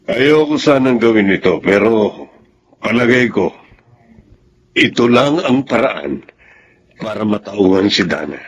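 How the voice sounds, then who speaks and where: An actor with a Filipino accent playing a stern, fed-up middle-aged father in a radio drama, speaking in a measured parental way. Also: on the low side, lively intonation, muffled recording, slight background noise. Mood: grave, resolved.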